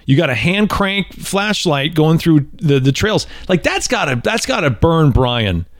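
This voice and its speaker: A man's voice: a little high, measured pace, dry recording. A man in his forties hosting a casual podcast chat, joking and often restarting his sentences. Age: 40s. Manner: animated, joking, restarts and repeats words. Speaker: podcast host.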